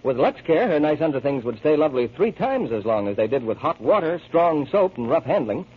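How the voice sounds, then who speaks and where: A man with a husky voice delivering a scripted 1940s radio advertising pitch as announcer. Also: sing-song, fairly quick. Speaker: commercial announcer. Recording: dull old radio broadcast.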